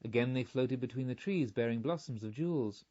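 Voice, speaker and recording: A man reading a literary audiobook in a measured, formal way, on a slightly muffled recording. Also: mid-range voice, dark tone. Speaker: audiobook narrator. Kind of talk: literary scripted narration.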